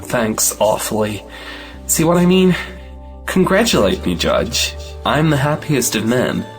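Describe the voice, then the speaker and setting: Man acting an impetuous young suitor, animated intonation. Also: medium pitch, a little slow, faint music behind. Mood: dry politeness. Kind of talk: audio play acting of a 1910s stage comedy.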